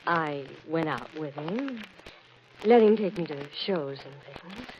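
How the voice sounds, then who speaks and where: An expressive radio-mystery suspect, muffled sound. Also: female voice, low voice, takes it slowly. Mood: soft, wistful. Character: anxious, pleading woman suspect in a murder case. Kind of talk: old-time radio drama acting. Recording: dull, noisy old radio recording.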